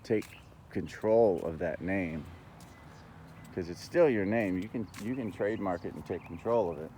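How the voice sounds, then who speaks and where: A man talking in a casual, rambling monologue, halting but earnest and opinionated, as a vlogger. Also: low-pitched, warm tone, a little hiss or hum.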